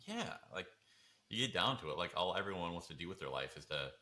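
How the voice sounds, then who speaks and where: A man's voice: sits low, big pitch swings, quick pace, sharp tone. A man talking casually as a podcast co-host, in an informal conversational style. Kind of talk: casual chat.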